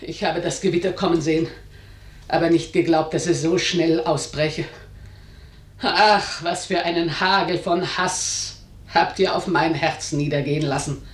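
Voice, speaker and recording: Imperious matriarch, roomy echo. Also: female voice, low voice, fairly even intonation, measured pace, recorded from a distance. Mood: stern, cold. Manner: imperious, commanding, declamatory. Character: domineering, ageing matriarch, harsh and commanding tyrant mother. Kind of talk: German radio drama acting. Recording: roomy echo, slightly off-mic.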